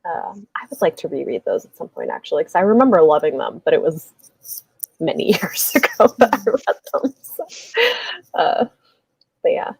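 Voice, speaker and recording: Woman, lowish pitch, casual book chat, rambling host, filler-heavy, dry close sound. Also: big pitch swings, normal speed, vocal fry, pauses often, darkish tone, slightly rough, no room sound. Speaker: live show host. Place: indoors, livestream video call.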